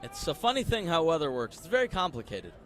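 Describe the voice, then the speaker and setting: A man's voice: on the high side, huge pitch swings, fast talker, a bit bright, a faint crowd. A young American man doing live radio play-by-play, conversational, stretching words on the big calls. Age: young adult. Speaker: play-by-play sports announcer.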